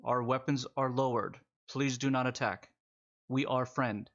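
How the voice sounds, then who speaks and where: A man's voice: medium pitch, fairly even intonation, a little slow, dull audio, close to an everyday voice. A man voicing a young male resistance corporal in his twenties, stammering and reverent. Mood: awed, earnest. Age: 20s.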